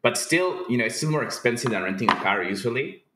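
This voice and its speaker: A man from Peru speaking casually as an interview guest, informal and halting, repeating words as he explains. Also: medium pitch, animated, a bit bright. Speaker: podcast guest, company founder. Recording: roomy, echoing sound.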